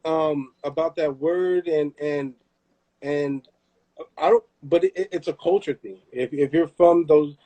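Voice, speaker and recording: A young man talks in a casual live chat, animated and emphatic, often restarting and repeating words. His voice sits a little high, with a mellow, relaxed tone. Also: a little slow, low-quality recording. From Southern California. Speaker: host.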